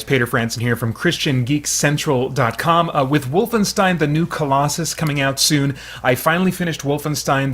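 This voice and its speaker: A man's voice: medium pitch, a bit bright. A man hosting a channel, giving casual, partly read commentary in a hesitant, thoughtful, explanatory way.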